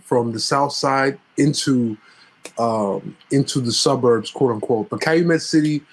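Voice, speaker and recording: Man, from Cameroon, interview guest, easygoing, hesitant, mid-pitched. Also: unhurried. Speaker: guest filmmaker. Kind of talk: casual interview.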